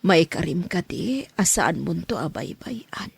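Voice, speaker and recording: A woman acting a frail, sick mother in a radio drama, with a weak rasping whisper and an Ilocano accent. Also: fairly low pitch, big pitch swings, a little slow, exaggerated voice. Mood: weak, pleading. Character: frail ailing mother pleading for her daughter.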